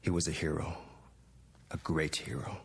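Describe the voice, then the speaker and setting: An actor playing a bitter, haunted young Japanese-American man in a 1960s television drama, speaking haltingly and intensely. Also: rumbling low, level tone, vocal fry, husky, exaggerated voice. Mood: grieving. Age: young adult. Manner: halting, intense, with repeated words.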